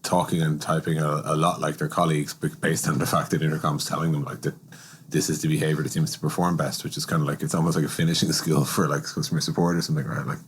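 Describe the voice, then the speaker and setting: Very deep, husky, fast-talking man. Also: flat delivery. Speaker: podcast host. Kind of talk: conversational interview and opinion.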